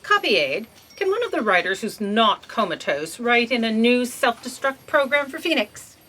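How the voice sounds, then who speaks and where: A woman's voice: a little high, big pitch swings, normal speed, pushed and bright, heavily acted. A woman playing an imperious, exasperated boss in a comic audio drama, commanding and sarcastic in a theatrical way. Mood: threatening. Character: imperious exasperated female boss of a bureaucracy of fate.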